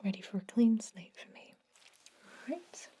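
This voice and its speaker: Female ASMR dentist role: breathy whisper and soft speech, unhurried, mellow, gently reassuring toward the patient. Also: medium pitch, lively intonation. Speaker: ASMR performer playing a dentist.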